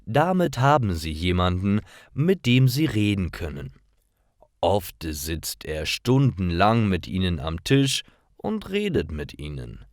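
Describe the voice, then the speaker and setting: A man reading simple stories for language learners as a scripted narration, in careful, clearly separated short phrases. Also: low-pitched, sing-song, slow, dry recording, studio quality.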